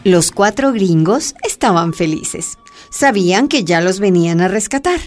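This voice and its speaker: A woman's voice: mid-range voice, lively intonation, a little slow, soft background music. A woman giving dramatic scripted narration for a radio drama.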